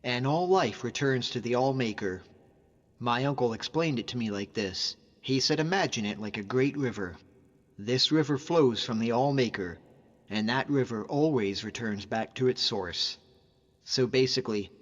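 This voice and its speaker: A man plays a young Nordic hunter from a fantasy video game, loyal and devout. He talks in an earnest, informal way at a medium pitch. The sound is slightly muffled, with a long reverb and music behind him. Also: moderate pace, lightly acted. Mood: reflective, earnest. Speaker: video game follower character. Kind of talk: conversational game character voice acting.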